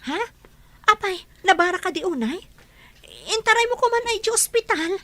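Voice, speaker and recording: An actress playing a caring, anxious elder sister and dutiful daughter in a radio melodrama. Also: female voice, very high-pitched, lively intonation, moderate pace, pushed and bright, exaggerated voice. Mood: worried. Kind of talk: melodramatic radio drama acting.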